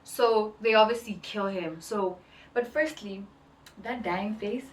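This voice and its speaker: A woman's voice: mid-range voice, slow. A woman co-hosting a casual podcast about television, opinionated and slangy, repeating words to press her point. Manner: opinionated, emphatic repetition, slangy. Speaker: podcast co-host. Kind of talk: casual chat about a TV show. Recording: roomy echo, distant mic.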